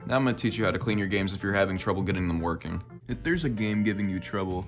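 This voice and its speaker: A raspy young man who just woke up, giving a laid-back, blunt tutorial that he peppers with casual swearing. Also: low voice, fast talker, soft background music. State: just woken up. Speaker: retro gaming YouTuber.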